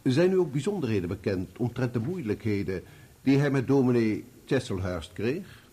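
A formal male examiner in a radio courtroom drama. His voice is husky and dark, with lively swings in pitch as he puts deliberate, probing questions. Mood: probing, courteous. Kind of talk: Dutch radio play acting.

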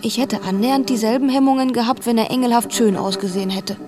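A woman's voice: on the high side, quick pace, lightly acted. Actress as an earnest, observant young girl. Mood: earnest, disapproving. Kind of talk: first-person radio play narration.

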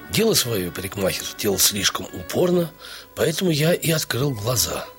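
An actor playing a wry, bemused dacha dweller who tells his humorous story with expressive, mock-solemn delivery. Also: medium pitch, close to an everyday voice. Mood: storytelling, mock-solemn. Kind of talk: dramatised literary storytelling with acted dialogue.

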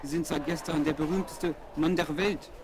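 An actor playing an admiring French attendant in a German children's audio drama, formal, courteous and flattering. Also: male voice, on the high side, normal speed, a crowd in the background, close to an everyday voice. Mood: respectful admiration. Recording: vinyl rip, loud street-like noise.

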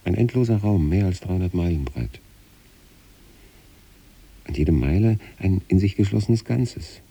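An actor playing a troubled, detached sanatorium patient who recites letters to his wife in a measured, brooding radio play monologue. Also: deep voice, expressive, dark tone, husky, heavily acted, low-quality recording. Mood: introspective, estranged.

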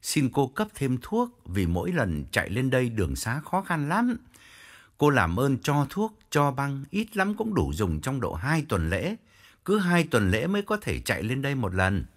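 A man voicing an earnest, respectful male driver in his own husky voice. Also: medium pitch, wildly swooping pitch, normal speed.

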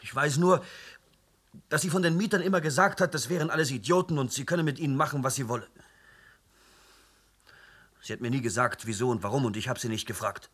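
A man's voice: mid-range voice, a little fast, long pauses, a bit bright, slightly rough. An actor playing a sulky young man who dodges questions in a radio play and rises to tearful protest. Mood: pleading. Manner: evasive, rising to tearful outbursts. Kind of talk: radio drama acting.

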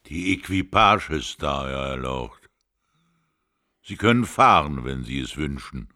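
Very deep-voiced formal servant. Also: male voice, animated, a little slow, sharp tone, no room sound, clean studio sound, exaggerated voice. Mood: deferential. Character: deferential servant addressing his lord. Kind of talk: German radio drama acting.

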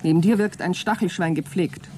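A woman's voice: lowish voice, brisk, darkish tone, slight background noise, lightly acted. An actress playing a scornful, sarcastic female space crewmate in a radio play, mocking and scolding with contempt.

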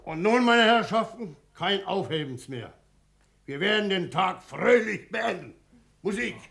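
An actor playing a brusque, callous ship's captain with a formal, commanding manner in a 1960s German radio crime drama. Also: male voice, high voice, animated, a little slow, vocal fry, a bit bright, exaggerated voice.

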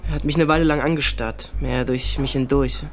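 A young actor playing a self-assured, probing teenage boy from a wealthy family in a radio drama, cool and deliberate. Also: mid-range voice, steady tone, faint music behind, lightly acted. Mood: cool recounting. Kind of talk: German radio drama, recounting and dialogue.

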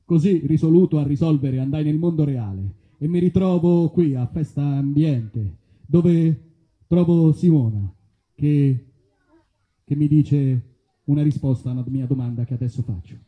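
A man's voice: on the high side, unhurried, narrow, tinny audio. A man performing theatrical comic storytelling like a mock detective, in a mock-serious way at a live show. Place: live performance at an outdoor festival.

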